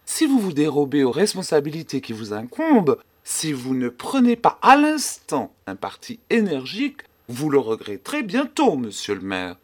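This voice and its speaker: An actor playing a panicky, pompous small-town notary in a dramatised story, indignant, emphatic and theatrical. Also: male voice, on the high side, very animated, takes it slowly, full character voice. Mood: solemn, pompous. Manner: theatrical, exclamatory, solemn and emphatic. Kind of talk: dramatised literary audiobook acting.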